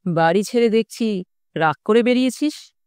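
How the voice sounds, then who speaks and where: Woman voicing a soft-spoken teasing holy man. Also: mid-range voice, moderate pace, smooth, dry recording, studio quality, close to an everyday voice. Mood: teasing, admonishing. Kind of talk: audiobook character dialogue.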